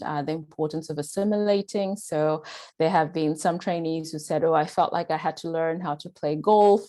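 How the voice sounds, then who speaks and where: A woman's voice: deep voice, a little mellow. A woman with a Zimbabwean British accent giving a faculty presentation, speaking earnestly with frequent "uh" and "um". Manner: earnest, filler-heavy delivery with frequent "uh" and "um".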